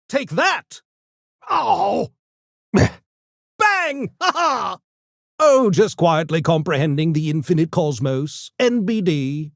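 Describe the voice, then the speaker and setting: An actor voicing a smug, erudite genius gremlin for a video game, with pompous, mock-genteel, theatrical diction that tips into gleeful mania. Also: male voice, sits high, sing-song, very slow, gravelly, pauses often, a bit bright, no echo, clean studio sound, heavily acted. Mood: wild, aggressive, then playfully pompous. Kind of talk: video game character voice lines.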